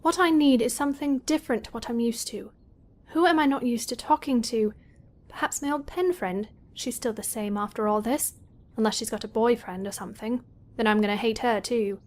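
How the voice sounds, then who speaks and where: High-voiced anxious British teenage girl, acted by a woman. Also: fairly quick, natural voice. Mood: glum, chatty. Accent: British English. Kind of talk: audio drama acting with inner monologue.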